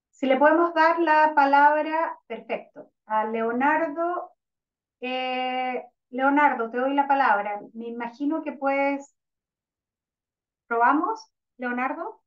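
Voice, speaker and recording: A woman moderating a virtual panel, hesitant and full of restarts. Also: high-pitched. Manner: hesitant, many fillers and restarts. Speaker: webinar moderator. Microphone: video call. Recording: distant, dull lo-fi call audio.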